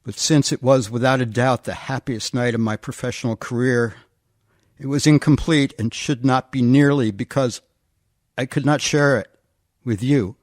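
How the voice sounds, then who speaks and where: An actor playing an earnest, lovesick husband, pleading deliberately and sincerely in a radio comedy. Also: male voice, medium pitch, steady tone, dry recording, studio quality, lightly acted. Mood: earnest, tender. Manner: deliberate, sincere delivery of absurd lines. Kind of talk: radio comedy romantic monologue.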